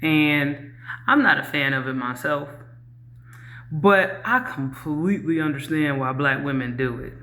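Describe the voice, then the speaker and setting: A woman with a very low voice, talking candidly to camera in a reflective way, with many ums and you-knows. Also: a little slow, pushed and bright. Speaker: YouTuber. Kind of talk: casual talk to camera.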